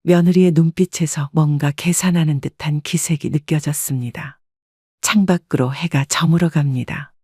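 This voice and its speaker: A woman giving scripted first-person narration in an even, wistful storytelling manner. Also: low-pitched, moderate pace, breathy edge, no room sound, studio quality. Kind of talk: scripted audio drama narration.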